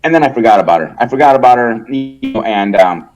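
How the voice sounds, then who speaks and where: A man from El Salvador, a guest in a casual interview, talking haltingly with many restarts and fillers and swearing freely. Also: mid-range voice, animated, darkish tone, muffled recording, faint music behind. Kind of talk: casual conversation.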